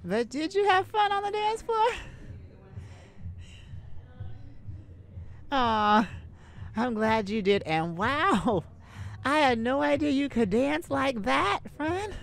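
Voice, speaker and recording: A woman playing an outgoing, playful, sassy young best friend in an audio roleplay, theatrical and teasing, with drawn-out words. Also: sits high, lively intonation, creaky, a little hiss or hum, exaggerated voice. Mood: affectionate. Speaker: ASMR roleplay voice actor. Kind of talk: ASMR audio roleplay, addressed to a listener.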